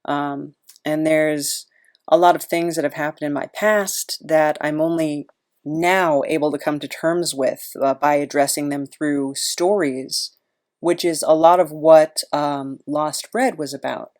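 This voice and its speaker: A woman's voice: low-pitched, steady tone, unhurried, darkish tone. A woman podcast host chatting in a casual, rambling, self-deprecating way.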